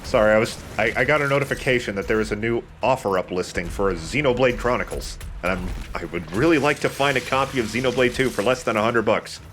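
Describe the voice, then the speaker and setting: A man's voice: medium pitch, fast talker, hardly any pauses, fairly bright tone, a little hiss or hum. A man giving casual gameplay commentary as a let's play host.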